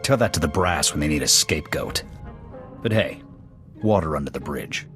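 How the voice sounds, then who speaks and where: Deep, gruff American military officer. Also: male voice, expressive, normal speed, fairly bright tone. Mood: gruff, bitter. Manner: clipped, blunt military delivery. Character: gruff, proud alien Cathar military officer, blunt veteran sniper. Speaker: soldier serving as second to his commander. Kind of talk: video game dialogue acting. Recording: background music under the dialogue.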